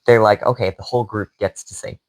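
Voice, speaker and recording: A young man co-hosting a podcast, telling an animated story in casual chat with frequent laughs. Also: lowish voice, quick pace, dry recording, rough recording. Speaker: podcast co-host.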